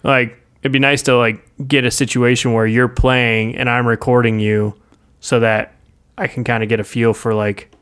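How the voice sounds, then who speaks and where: A man with a smooth, clear voice at an ordinary pitch, chatting easily as a podcast co-host. He is laid-back and agreeable, with lots of casual fillers. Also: flat delivery, moderate pace, a bit bright. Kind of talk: casual chat.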